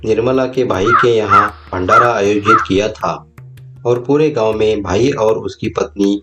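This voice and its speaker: A man's voice: fairly low pitch, a little slow. A man narrating a scripted moral story as its storyteller. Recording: music bed behind the voice.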